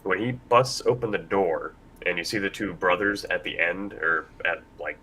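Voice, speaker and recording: A man moderating a casual live panel discussion, speaking in a halting, loosely rambling way. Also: sits low, pushed and bright. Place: convention panel room. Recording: live panel with background noise.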